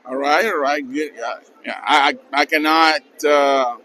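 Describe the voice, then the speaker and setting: Friendly Mexican-accented convention interviewer. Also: male voice, a little high, steady tone, measured pace, sharp tone, a little hiss or hum. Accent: Mexican Spanish. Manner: friendly, stammering restarts. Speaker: interviewer and channel host. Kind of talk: casual fan interviews at a convention. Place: busy convention hall.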